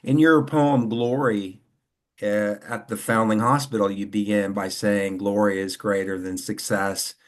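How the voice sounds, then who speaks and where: A man taking part in a discussion group over a video call, speaking casually and rambling a bit as he builds his point. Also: fairly low pitch.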